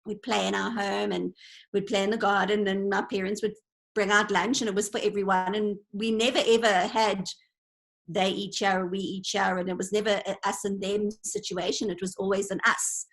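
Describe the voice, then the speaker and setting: Earnest woman with a gravelly edge. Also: mid-range voice, level tone, normal speed. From Zimbabwe. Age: 40s. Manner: earnest, reflective, storytelling. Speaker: interview guest. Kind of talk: casual conversation. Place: online video call. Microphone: video call.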